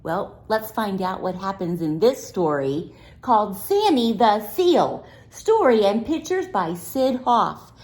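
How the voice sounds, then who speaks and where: A woman teacher reading a picture book aloud to young children, pausing to ask them questions in a playful, sing-song way. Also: medium pitch, darkish tone. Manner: slow and deliberate, pausing for answers.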